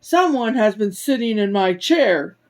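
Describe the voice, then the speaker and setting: A woman plays a great big storybook father bear. His voice is big and booming as he cries out, loud and indignant. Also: lively intonation, measured pace, natural voice.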